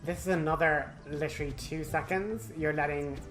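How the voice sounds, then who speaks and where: A man gives casual walkthrough commentary over game music. He is chatty and wry, in a voice of middling pitch for his range. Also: steady tone, moderate pace, a bit bright. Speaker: gaming walkthrough host.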